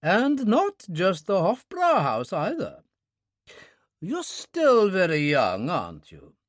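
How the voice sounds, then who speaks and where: A man reading an audiobook, voicing a spry elderly woman, a former stage star, with a brisk, teasing, sharp-tongued manner. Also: high voice, wildly swooping pitch, no echo, clean studio sound, pitched above the performer's own voice, heavily acted. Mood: warm chatty.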